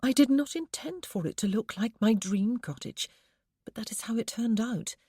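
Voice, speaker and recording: A woman voicing a gentle young wife in a storybook, speaking in a soft, breathy, heartfelt way. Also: a little high, animated, moderate pace, no echo, studio quality, pitched above the performer's own voice. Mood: wistful.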